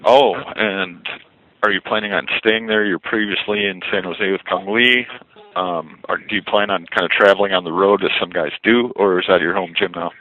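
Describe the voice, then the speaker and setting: A man's voice: deep voice, steady tone, moderate pace. A male reporter asking fighters questions on a conference call in a friendly, polite way. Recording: tinny phone line with faint hiss.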